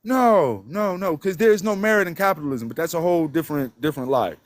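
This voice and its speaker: A man whose voice sits high for a man, heard close and dry with no room sound. He talks bluntly and emphatically, swearing freely and tagging each point with "right?". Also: quick pace, no echo. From Detroit, United States. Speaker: guest. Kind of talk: casual live-stream conversation.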